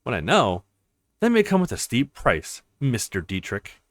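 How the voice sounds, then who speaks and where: A smug, manipulative woman intelligence officer from a visual novel, read by a man. She talks in a teasing, self-assured way with very lively pitch movement. The recording is close and dry. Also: measured pace, no echo. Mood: sly, teasing.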